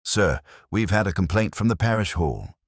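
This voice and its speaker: A man's voice: low-pitched, expressive, moderate pace, breathy edge, no room sound, clean studio sound, pitched above the performer's own voice. A man voicing a deferential police sergeant in a storybook, with a strained politeness. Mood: suppressed amusement. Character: deferential police sergeant stifling his amusement.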